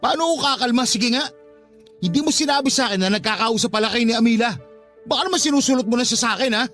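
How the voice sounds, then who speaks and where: A man's voice: very high-pitched, racing, a bit bright, faint music behind. An actor playing a jealous, proud young father in a radio drama, arguing defensively. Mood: jealous, confrontational. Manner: argumentative, defensive. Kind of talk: Tagalog radio drama acting.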